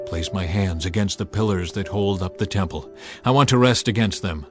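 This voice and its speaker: A man's voice: low voice, normal speed, lightly acted. Guy acting as a solemn biblical strongman, earnest and declamatory, music underneath. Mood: weary. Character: strong long-haired ancient hero, earnest, solemn.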